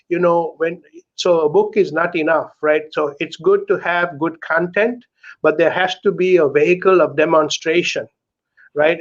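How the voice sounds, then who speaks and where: A middle-aged man from Sri Lanka speaks earnestly as an interview guest, often tagging his points with "right?". His voice sits somewhat high. The video call audio is dull and muffled. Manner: earnest, keeps adding "right?" to check in. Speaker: author and guest. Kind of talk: candid conversational interview talk.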